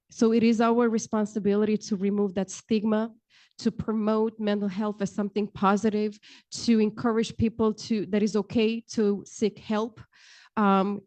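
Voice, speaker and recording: A woman in her thirties speaks at a panel table in a large hall, holding a handheld mic. Her voice has a mellow, dark tone at a middling pitch. She talks earnestly and fills pauses with ums and uhs. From Romania. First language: Romanian. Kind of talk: panel discussion talk.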